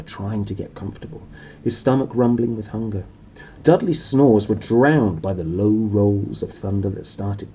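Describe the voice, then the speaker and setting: A man reading a children's novel aloud as an animated storyteller. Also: fairly low pitch, a little hiss or hum, rough recording. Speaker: storybook reader.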